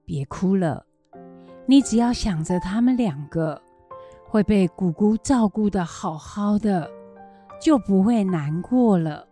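Woman doing an old taiwanese mandarin granny, slow and mellow, radio play, caring and self-sacrificing. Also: medium pitch, animated, soft background music. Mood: consoling. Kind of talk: educational radio drama acting.

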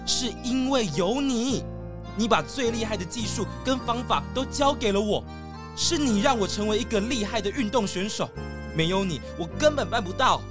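A woman's voice: a little high, lively intonation, normal speed, music in the background, natural voice. A woman voicing an encouraging, forgiving young animal athlete in a children's audio drama.

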